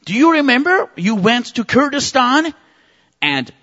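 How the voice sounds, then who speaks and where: A man's voice: very high voice, big pitch swings, measured pace, sharp tone. A man from Iran, a native Persian speaker, preaching an impassioned sermon with vivid stories. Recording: muffled recording with long reverb.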